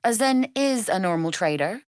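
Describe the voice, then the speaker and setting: Wry female smuggler, acted by a woman, lively intonation. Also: mid-range voice, normal speed, clear, resonant tone, no echo, clean studio sound, close to an everyday voice. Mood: wry, businesslike. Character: wry, shrewd female smuggler-merchant, coolly amoral. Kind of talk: video game character acting.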